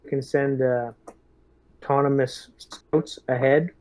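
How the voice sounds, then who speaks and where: A man's voice: medium pitch, steady tone, measured pace, a little mellow. A man playing a tabletop role-playing game, talking through plans and questions with hesitant phrasing. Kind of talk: in-game planning talk. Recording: dull, muffled microphone.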